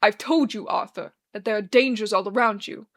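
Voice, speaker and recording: A woman voicing a stern, pious, fatherly male religious mentor in a dramatic story, earnest and forceful. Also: mid-range voice, normal speed, natural voice. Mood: defensive.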